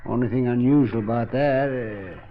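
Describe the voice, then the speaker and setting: An actor playing an old, folksy and suspicious boat-rental keeper in a radio drama, talking in terse, rustic, ungrammatical phrases. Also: male voice, medium pitch, moderate pace, slight background noise, close to an everyday voice. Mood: wary, folksy. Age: older. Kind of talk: 1950s radio drama acting. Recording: dull old radio recording.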